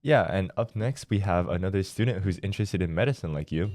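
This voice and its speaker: A man's voice: low-pitched, a little fast, a little mellow, dry recording. A teenage boy co-hosting a student podcast, talking thoughtfully and hesitantly in casual chat. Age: teens. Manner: thoughtful, hesitant, many likes.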